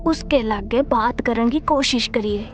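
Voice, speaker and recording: A woman's voice: fairly high pitch, measured pace, natural voice. A woman with a Haryanvi accent playing a kindly, helpful confidante in an audio drama. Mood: helpful, confiding. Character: helpful, kindly female confidante giving practical advice. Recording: music behind the voice.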